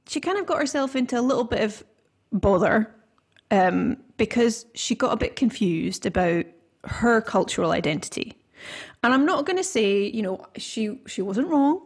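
A woman's voice: fairly high pitch, a little mellow, breathy edge. A woman from Scotland hosting a podcast, talking in a chatty, rambling way with plenty of fillers and restarts. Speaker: podcast host. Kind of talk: casual podcast conversation.

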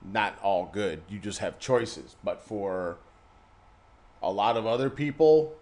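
A man's voice: lowish voice, takes it slowly, darkish tone. An American man co-hosting a casual podcast, blunt and joking, leaning on a running catchphrase. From United States. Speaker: podcast co-host. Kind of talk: casual chat.

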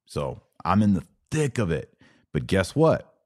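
Male podcast host, mid-forties. Low, blunt and opinionated with a curmudgeonly edge, casual delivery, dry close sound. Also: wildly swooping pitch, unhurried, darkish tone, no echo. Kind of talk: casual livestream talk, reading and answering viewer comments.

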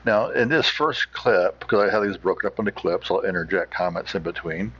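Deep-voiced American man, halting and husky. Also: fairly bright tone. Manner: halting, searching for words. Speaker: host of an old-time radio show. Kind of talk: informal explanatory commentary. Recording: slightly dull, lo-fi.

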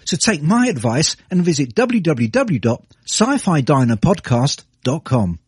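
A man's voice: a little high, big pitch swings, measured pace, slightly rough, no echo, clean studio sound. A British man reading a cheerful, self-mocking podcast promo. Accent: British English. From Britain. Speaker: podcast host giving a promo. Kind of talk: scripted promo read.

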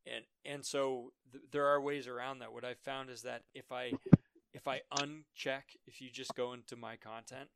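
A man's voice: medium pitch, level tone, gravelly, a bit bright, smooth, no echo. A man from Massachusetts, a podcast guest explaining things with many restarts and repeated words. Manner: halting, repeats words while explaining, laughs lightly. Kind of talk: casual conversation.

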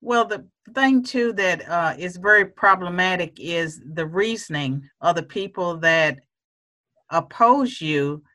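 An interview host and former political candidate who argues political points in a measured, pointed way. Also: mid-range voice, expressive, pushed and bright. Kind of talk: interview discussion.

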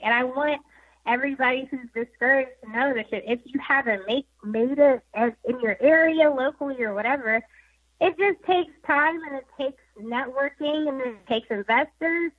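A woman's voice: high-pitched, moderate pace, slightly rough. A young woman with a sweet, girlish voice, chatting as a guest on a talk show, laughing often and using lots of fillers. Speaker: guest musician. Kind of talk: casual interview chat. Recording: dull lo-fi sound.

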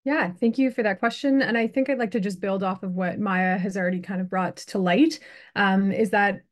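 Mid-pitched young woman debate candidate. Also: fairly even intonation, moderate pace. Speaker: student election candidate. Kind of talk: debate answer.

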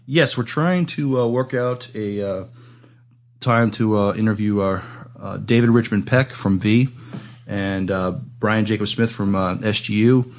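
A man co-hosting a podcast in casual talk, speaking haltingly with many filled pauses. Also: lowish voice. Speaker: podcast co-host.

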